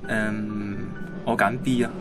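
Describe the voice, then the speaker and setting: A man's voice: lowish voice, level tone, slow, music in the background. An actor playing an easygoing, caring young man with a touch of regret, speaking with a Hong Kong accent in a naturalistic radio drama. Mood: thoughtful.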